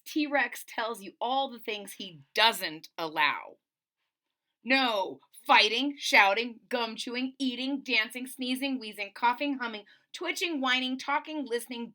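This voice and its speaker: A middle-aged woman reads a children's story aloud with a very animated, swooping delivery. Her voice is bright and forceful. She leans on key words for drama and breaks off to explain things simply to young listeners. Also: high voice. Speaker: storyteller reading a picture book aloud. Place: small indoor room at home.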